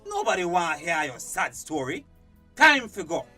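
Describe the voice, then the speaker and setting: A man's voice: on the high side, sing-song, unhurried, sharp tone, heavily acted. An actor playing a harsh, impatient, menacing man in a radio drama, with a Belizean Creole accent. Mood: impatient, scornful. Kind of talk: radio drama acting in dialect. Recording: distant radio drama, faint music behind.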